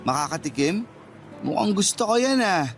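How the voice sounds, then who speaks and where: Radio drama boyfriend: Filipino, playful, earnest sweet-talker, lightish pitch. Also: male voice, big pitch swings, normal speed, faint music behind. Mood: reassuring, playful. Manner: teasing, earnest, affectionate. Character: sweet-talking, playful young boyfriend promising marriage. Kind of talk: radio romance drama acting.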